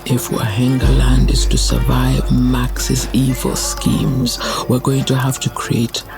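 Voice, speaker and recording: Slow, gravelly old storytelling elder. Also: male voice, mid-range voice, completely flat delivery. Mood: solemn, tender. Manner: slow, reflective storytelling with breathy phrase endings. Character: wise old male clan elder, solemn and tender storyteller. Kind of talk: dramatic narration in a motion comic. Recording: music behind the voice. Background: music.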